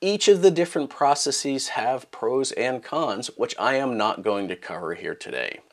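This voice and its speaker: A middle-aged man hosting a maker channel, talking to camera in a scripted explainer, starting phrases high and emphatic and dropping low at their ends, recorded close in his home workshop. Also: expressive, moderate pace. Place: home workshop room with purple lighting.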